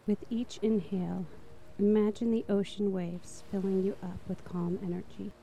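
Very dark, mellow woman guiding meditation. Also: mid-range voice, level tone, creaky, a little hiss or hum, low-quality recording. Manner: soft, slow, soothing. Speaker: meditation guide. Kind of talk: guided meditation narration.

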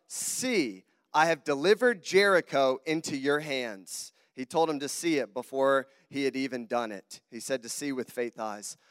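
A man's voice: a little high, fairly bright tone, smooth, studio quality. A man with a Southern US accent preaching a sermon in a casual, earnest way, often turning to the congregation. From southern United States. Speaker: guest preacher. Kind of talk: sermon with conversational asides. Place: church auditorium. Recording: long hall reverb on the voice.

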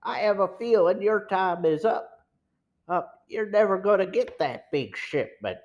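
Male narrator as captive father, weakened, resolute, unhurried, audiobook drama, slightly muffled audio. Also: mid-range voice, expressive, close to an everyday voice. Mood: defiant. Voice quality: faint, weak but clear. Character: weak but defiant captive male detective, a father. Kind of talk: audiobook dialogue.

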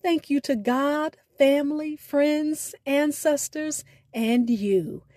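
A woman hosting a podcast, reading a scripted sign-off in a deliberate, carefully enunciated way. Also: sits high, dark tone, no echo, clean studio sound. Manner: deliberate, spells out names and details. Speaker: podcast host.

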